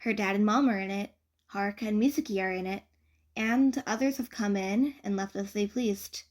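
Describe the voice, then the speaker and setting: A woman reading fan fiction aloud as a narrator, in an expressive audiobook style. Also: medium pitch, normal speed.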